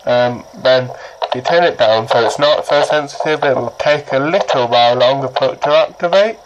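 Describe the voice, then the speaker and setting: A man giving a casual, rambling how-to explanation, halting and often repeating his words, as he demonstrates a product. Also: mid-range voice. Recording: noisy amateur recording, clicks.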